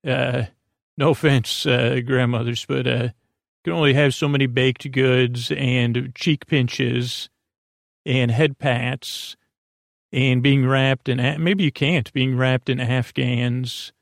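A man with a creaky voice hosting a bedtime podcast, meandering gently and hesitantly, often interrupting himself in a soothing way. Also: medium pitch, steady tone, takes it slowly, dry recording, clean studio sound. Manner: soothing, gentle, soft-spoken. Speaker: podcast host. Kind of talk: rambling bedtime podcast monologue.

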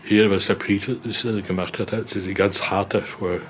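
A man's voice: fairly low pitch, level tone, moderate pace. An older man explains and tells stories like a tour guide, speaking spontaneously and often adding little questioning tags. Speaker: museum guide and interviewee. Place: indoor exhibition room. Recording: clearly noisy room ambience.

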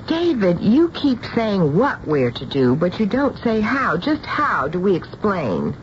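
An actress playing an anxious, gentle middle-aged mother in an American radio drama, with a hesitant, pleading manner. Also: mid-range voice, huge pitch swings, unhurried. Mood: pleading, challenging. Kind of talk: 1970s radio drama acting. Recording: muffled old radio broadcast, clear noise.

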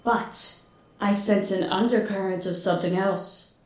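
A woman's voice: mid-range voice, fairly even intonation, darkish tone, lightly acted. A woman playing a solemn tarot fortune teller, giving a portentous, theatrical reading. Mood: solemn, mysterious. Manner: portentous, measured. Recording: echoey, reverberant voice.

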